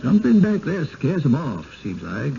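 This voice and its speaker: An actor playing a wary, folksy village rustic with a rural American accent and plain-spoken drawl, in 1970s radio drama style. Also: male voice, a little high, huge pitch swings, normal speed, gravelly, dark tone, full character voice. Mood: cautious, matter-of-fact. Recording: dull, hissy old radio broadcast.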